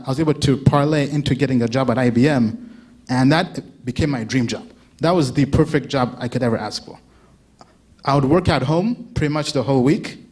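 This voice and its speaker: A man's voice: mid-range voice, a little mellow, breathy edge. Chatty man giving a conference talk. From Uganda. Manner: chatty, asks the audience questions, laughs often. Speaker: conference speaker. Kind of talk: casual keynote talk to a live audience.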